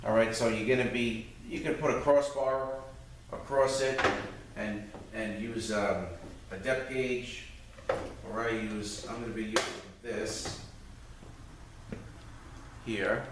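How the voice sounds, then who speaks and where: A man's voice: on the low side, steady tone, slow pace, pauses often. A man giving an informal hands-on walkthrough, explaining step by step like a mechanic teaching a repair. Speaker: transmission mechanic demonstrating repair. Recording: roomy echoing space, distant mic, faint noise.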